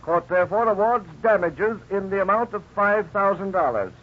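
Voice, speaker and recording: An actor playing a stern judge, formal and measured, in a 1950s radio drama. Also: male voice, high-pitched, expressive. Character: stern, formal male judge delivering a ruling. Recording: dull 1950s radio recording.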